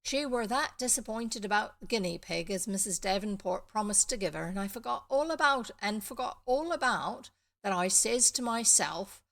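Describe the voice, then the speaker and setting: Storybook farm lad, played by a woman: rustic West Country accent, eager, a touch self-important, gravelly edge. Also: medium pitch, animated, normal speed, a bit bright. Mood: proudly important. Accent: English West Country. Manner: rustic dialect grammar, self-important delivery.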